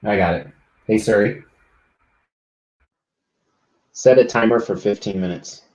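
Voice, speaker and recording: A man's voice: fairly low pitch, a little slow, darkish tone, roomy sound, muffled recording. A middle-aged man live streaming, chatting casually and rambling to his viewers.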